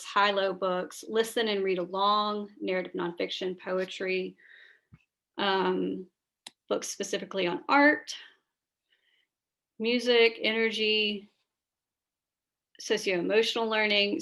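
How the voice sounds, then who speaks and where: A woman's voice: medium pitch, gravelly, a bit bright. A woman with a tired, scratchy voice, chatting through a screen-share training on a video call, with many fillers and restarts. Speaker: school librarian leading teacher training.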